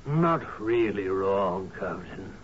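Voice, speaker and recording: An actor in a radio drama playing a grieving, reserved houseguest who speaks in a solemn, terse, polite way. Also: male voice, low voice, expressive, slow pace. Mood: grave, subdued. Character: grave, grieving, reserved male houseguest on island. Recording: muffled, hissy old radio broadcast.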